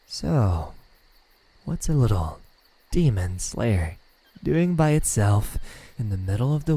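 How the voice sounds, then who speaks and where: A man playing a smug, teasing demon in an ASMR roleplay, taunting softly with drawn-out pauses and a breathy, husky voice. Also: on the low side, no room sound, exaggerated voice. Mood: mocking amusement. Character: smug, teasing male demon toying with a captive. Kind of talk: ASMR roleplay, slow intimate villain acting.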